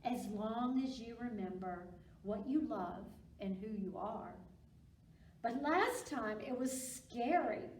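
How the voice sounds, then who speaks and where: A woman's voice: fairly high pitch, expressive, takes it slowly, a little mellow. A woman voicing a loyal, encouraging pony best friend from a storybook, earnest and reassuring. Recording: roomy, distant mic with background noise.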